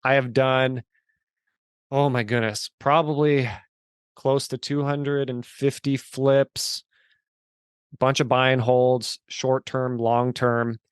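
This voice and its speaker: A man just turned forty, speaking at a middle pitch in an earnest, emphatic storytelling manner. His voice is recorded close and dry, with no room sound. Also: flat delivery, takes it slowly, clean tone, no room sound. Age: 40s. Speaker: guest. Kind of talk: casual interview chat.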